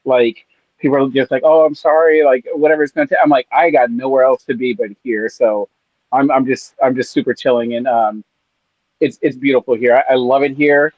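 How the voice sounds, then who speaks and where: A man's voice: mid-range voice, no echo, narrow, tinny audio. A man from California, a podcast co-host, chatting in a laid-back, rambling way with lots of fillers. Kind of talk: casual chat. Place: on vacation in Maui.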